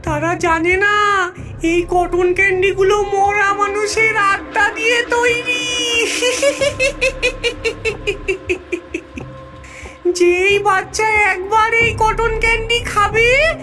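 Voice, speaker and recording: Shrill, slow gloating cartoon witch. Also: steady tone, pushed and bright, soft background music, full character voice. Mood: gloating menace. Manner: gloating, theatrical, cackling. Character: wicked child-eating witch luring children with candy. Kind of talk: cartoon villain voice acting.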